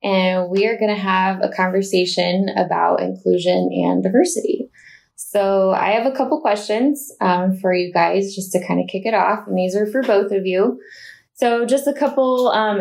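Casting: mid-pitched woman host, gravelly, friendly company podcast interviewer. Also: fairly even intonation, moderate pace, a little mellow. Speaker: host, marketing coordinator.

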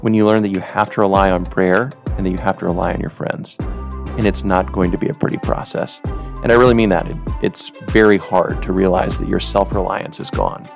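A man's voice: low voice, creaky, over music. A man hosting a podcast, telling a personal story in earnest, reflective scripted narration. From United States. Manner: earnest, reflective, measured delivery. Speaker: podcast host.

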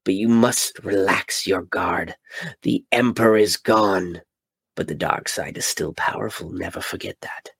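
A calm, grave old mentor speaks in a hushed, husky murmur, each word slow and weighty, as a man reads him aloud. The sound is dry and close, with no room around it. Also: dry recording, exaggerated voice. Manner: low, quiet, slow and solemn delivery.

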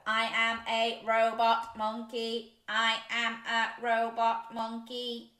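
A woman's voice: a little high, completely flat delivery, takes it slowly, bright, forceful tone. A woman voicing a stiff, silly robot monkey in a children's storybook read-aloud. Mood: stiff, mechanical. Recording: echoey room.

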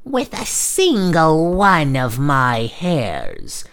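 A man voicing a hideous, scornful old witch with a drawn-out, menacing delivery in a storybook tale. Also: on the high side, wildly swooping pitch, vocal fry, a bit bright, smooth, voice pushed up, exaggerated voice. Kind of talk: storybook fairy tale reading.

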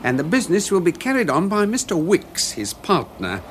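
An actor playing a proper English manservant in a radio drama, formal, careful and respectful. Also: male voice, high voice, huge pitch swings, normal speed, a bit bright, slight background noise, exaggerated voice. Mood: deferential, informative. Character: deferential, proper English manservant, measured and discreet.

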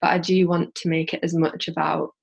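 Yorkshire woman, guest, husky and gravelly, talks in rambling restarts. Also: lowish voice, flat delivery, brisk, highs cut off. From Yorkshire, England. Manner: rambling, thinks aloud with many restarts. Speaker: guest, social enterprise founder. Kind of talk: casual interview chat.

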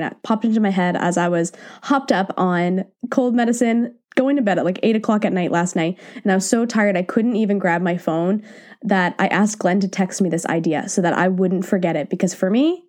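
Sick stuffed up lady podcasting from her home office floor, soft mellow voice, chatty. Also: medium pitch. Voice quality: a little nasal. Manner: chatty, encouraging, self-deprecating. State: stuffed up from a cold. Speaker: podcast host. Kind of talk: casual solo podcast talk.

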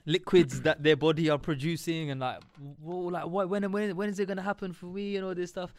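A man's voice: high-pitched, fairly even intonation, fairly quick, a little mellow, dry recording, clean studio sound. A man, musing at length in casual chat, with many fillers. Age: 20s. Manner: rambling, reflective, many fillers.

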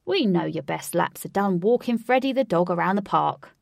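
A woman voicing a kind young heroine who speaks in a warm, encouraging way. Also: on the low side, expressive, normal speed, vocal fry, dry recording, studio quality, full character voice.

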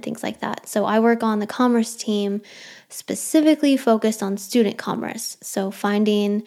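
A woman's voice: mid-range voice, vocal fry, darkish tone. A young adult woman hosting her own podcast, chatting casually and rambling through listener questions with a self-deprecating touch. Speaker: podcast host.

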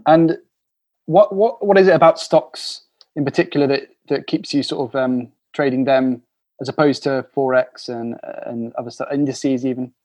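A man's voice: medium pitch, darkish tone. A man hosting an interview podcast, who reads a polished opening and then asks his questions with some hesitation. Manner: polished scripted intro, then halting questions. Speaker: podcast host.

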